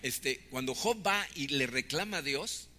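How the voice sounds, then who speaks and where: Pastor in his 50s, sharp bright voice and casual preaching, recorded in a reverberant hall with faint background noise. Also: medium pitch, lively intonation, a little slow. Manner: conversational preaching with frequent "¿no?" tags. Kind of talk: sermon.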